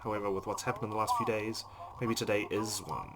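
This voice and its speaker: A man hosting a let's play, reading visual novel narration aloud with asides, over loud background noise. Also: lowish voice, fairly even intonation, quick pace, over a noisy crowd.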